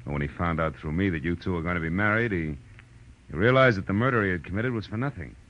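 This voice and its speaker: A wry noir detective, low bright voice that moves around a lot, old radio drama. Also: male voice, animated, fast talker. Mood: explaining, sober. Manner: hard-boiled, dry. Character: wry world-weary male private detective, hard-boiled narrator. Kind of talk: 1940s radio acting, noir narration. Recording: muffled old radio recording, faint music.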